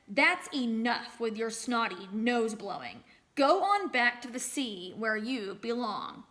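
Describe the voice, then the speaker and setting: A selfish storybook flower seller, acted out by a woman, fed up and scornful. The voice is bright and forceful, on the high side, with an exasperated, complaining manner. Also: animated, slow pace.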